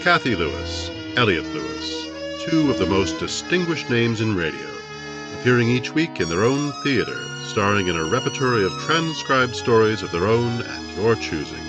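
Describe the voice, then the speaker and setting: A man's voice: fairly low pitch, sing-song, moderate pace. A man playing a formal 1950s radio announcer, grand and stately in delivery. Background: loud music.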